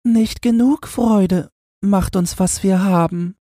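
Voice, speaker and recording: Man putting on a plaintive little royal child, very high. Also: slow, dark tone, no echo, studio quality. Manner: plaintive, innocent. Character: sad young royal children, boy and girl.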